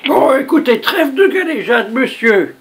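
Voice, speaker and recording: Performer putting on a stubborn, excitable old grandmother. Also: high voice, measured pace, slightly rough, heavily acted. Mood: earnest, insistent. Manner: insistent, rambling, tags sentences with questions. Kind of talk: prank phone call.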